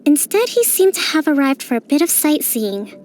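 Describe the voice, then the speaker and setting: An actress voicing a young female island priestess and military strategist in a game, speaking in a gentle, composed, softly playful way. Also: high-pitched, sing-song, normal speed, faint music behind. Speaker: game character. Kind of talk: game voice lines.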